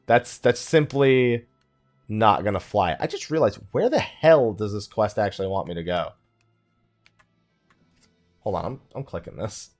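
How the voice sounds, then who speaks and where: Lively deep-voiced man commentating a game. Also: unhurried, pauses often, faint music behind. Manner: rambling, joking, mock exasperation and self-mockery. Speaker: host of a gaming let's play. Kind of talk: casual chatty game commentary.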